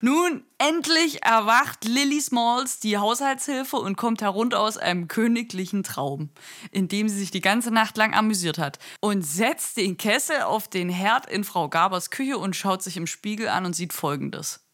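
A woman's voice: medium pitch, a little slow, a bit bright, natural voice. A woman narrating a literary radio play from a script. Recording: music bed behind the narration.

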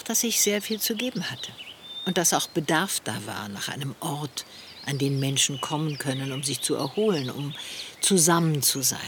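A woman's voice: sits low, a bit bright. A woman playing a generous host of a garden refuge, speaking reflectively and earnestly in a radio drama interview. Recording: noisy outdoor ambience with birds and insects.

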